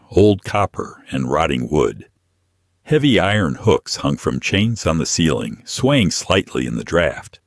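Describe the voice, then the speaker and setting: A man's voice: rumbling low, no room sound, studio quality. A man narrating a scripted story in a dramatic audiobook style.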